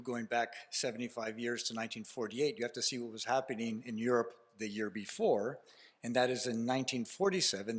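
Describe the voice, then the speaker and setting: A man with a Canadian accent giving a conference speech to an audience, telling a story informally with frequent fillers and restarts. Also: mid-range voice. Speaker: keynote speaker at a conference.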